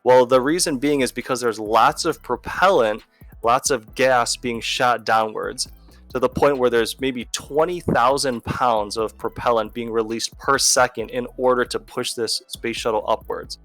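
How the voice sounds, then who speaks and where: A man's voice: mid-range voice, fairly even intonation, measured pace, a bit bright, no room sound, faint music behind. A man explains as a teacher in a video lesson, conversational and going step by step. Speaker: physics teacher.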